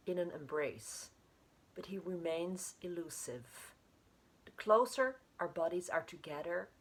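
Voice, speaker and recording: A woman reading her own literary short story aloud in an expressive way. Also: on the low side, breathy edge.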